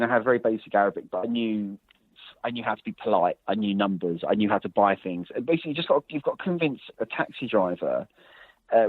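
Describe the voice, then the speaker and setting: A man's voice: medium pitch, dry recording. A man, a journalist and author, telling long stories in a casual interview, rambling with many restarts and fillers. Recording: dry, phone-like line.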